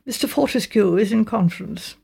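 Older-style narrator lady doing a snooty poised secretary, genteel, bit creaky, storybook reading. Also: medium pitch, a little fast, warm tone, exaggerated voice. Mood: haughty, impersonal. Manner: haughty, impersonal, genteel. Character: haughty, poised blonde female secretary turning frightened.

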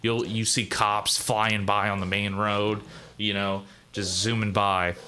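A man's voice: on the low side, level tone, a little slow, bright, forceful tone. A man narrating a tabletop game as game master in a casual, profane, rambling way. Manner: casual, profane, full of fillers and restarts.

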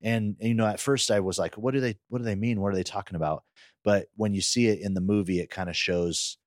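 Deep-voiced man chatting casually, very dry sound. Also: steady tone, fast talker, dry recording. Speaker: podcast co-host. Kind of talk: casual chat, personal recollection.

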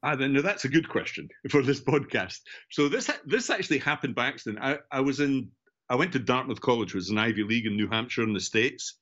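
A man's voice: mid-range voice, expressive, quick pace, fairly bright tone, highs cut off. An older man with a Scottish accent, talking informally and discursively as an interview guest. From central Scotland. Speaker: podcast guest, education technology executive. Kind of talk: conversational interview answers, recounting career.

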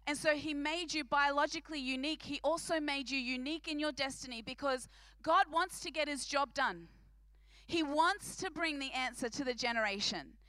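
A woman's voice: high-pitched, moderate pace, sharp tone, no room sound. A woman with an Australian accent preaching to a church congregation in a big, emphatic voice, repeating phrases for effect. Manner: big, projecting voice. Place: church service.

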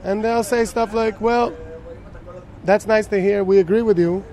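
A man, a band musician, talking in a casual interview in an animated, storytelling way. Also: high-pitched, measured pace, soft background music.

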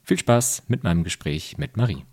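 A man hosting a podcast who thanks guests, then explains in a calm, orderly way before introducing the next interview. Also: on the low side, normal speed, husky. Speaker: podcast host. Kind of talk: interviewing and scripted narration.

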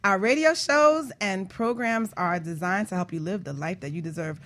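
A woman's voice: mid-range voice, moderate pace, fairly bright tone. Female radio host and life coach, mid-range voice, playful, teasing, chatty co-host banter. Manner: playful, teasing, laughs often. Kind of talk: lively co-hosted radio banter.

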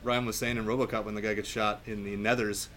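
A man talking casually and enthusiastically in a film discussion, in a halting, rambling way. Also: fairly low pitch, little pitch movement, fairly bright tone. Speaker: podcast panelist.

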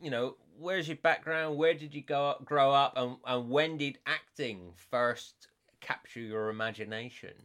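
Bright-voiced West Country man. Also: mid-range voice, measured pace. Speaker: podcast host. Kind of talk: casual interview chat.

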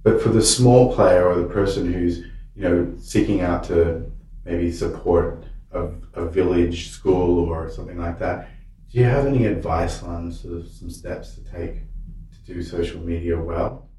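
A man's voice: sits low, steady tone, measured pace, dark tone, recorded from a distance. A man hosting a podcast, asking questions conversationally and rephrasing as he goes. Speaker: podcast host. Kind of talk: conversational interview. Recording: very echoey room, off mic.

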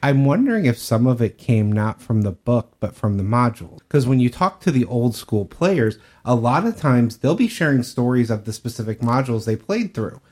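Mid-pitched man in a podcast discussion. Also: sing-song, normal speed, hardly any pauses, a little mellow. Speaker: podcast co-host. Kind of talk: casual panel discussion.

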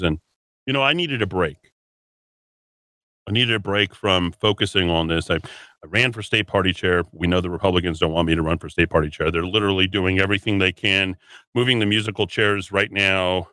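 A man's voice: deep voice, sharp tone, no room sound. A man hosting a solo talk radio show, combative and rambling, with a sniffly runny nose. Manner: combative, rambling, repeats words and restarts. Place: radio studio.

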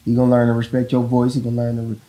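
A man with a deep voice talking casually about parenting, rambling and often checking in with the listener. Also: very fast, low-quality recording. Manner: relaxed, rambling, repeats "you know what I'm saying". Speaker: father in a couple interview.

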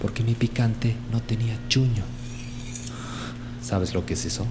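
An actor playing a menacing, foul-mouthed butcher crime boss in a radio drama, questioning deliberately with a Bolivian accent. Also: male voice, lowish voice, steady tone, natural voice. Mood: menacing, aggrieved. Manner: deliberate, threatening, rhetorical questioning. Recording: clearly noisy background hum and clatter of dishes.

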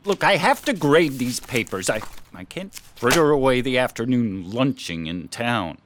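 Guy acting as fed up overworked husband, snappy and defensive, pitch all over, radio drama. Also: animated, normal speed, a bit bright, slight background noise, natural voice. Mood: exasperated. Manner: terse, irritated. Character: overworked, exasperated, defensive husband who grades papers.

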